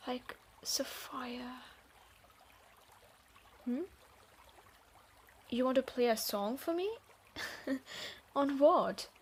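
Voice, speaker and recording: Woman acting a guarded thief woman, slow and high. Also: animated, slight background noise, full character voice. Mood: shy, relaxing, then amused, softened. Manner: hesitant, sighing, halting delivery. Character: guarded, troubled human thief woman, reluctantly softening. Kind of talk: scripted roleplay audio drama.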